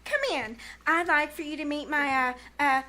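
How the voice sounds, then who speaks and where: Woman playing a high-pitched, eager teenage girl. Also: moderate pace, pushed and bright. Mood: eager, flustered. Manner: theatrical, over-eager. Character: eager, tactless teenage daughter playing matchmaker. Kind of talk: 1940s radio comedy acting. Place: live community theater stage. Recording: very noisy live theater stage recording.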